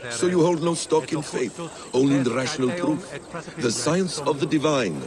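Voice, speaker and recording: An actor playing a sly, assured sceptic in a video game, challenging with pointed rhetorical questions. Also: male voice, fairly high pitch, lively intonation, moderate pace, a crowd in the background, natural voice. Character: probing, sceptical male debater, sly and assured. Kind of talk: video game cutscene acting.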